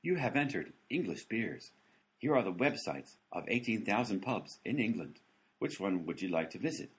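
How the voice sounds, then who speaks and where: A glitchy talking phone assistant, voiced by a man, reads out stiff canned prompts and misunderstands everything. Its low voice is very husky and rough, and it swings up and down in a lively, singsong way. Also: normal speed, heavily acted. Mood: robotic. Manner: flat scripted prompts, mishears every command. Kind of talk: comedy radio play acting.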